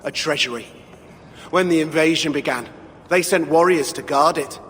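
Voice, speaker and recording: An actor playing a weary, bitter, downtrodden dwarf refugee in a video game, speaking in a sombre, plaintive manner. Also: male voice, fairly high pitch, normal speed, fairly bright tone, natural voice. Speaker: dwarf character. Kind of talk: game cutscene acting. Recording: long hall reverb, faint background noise.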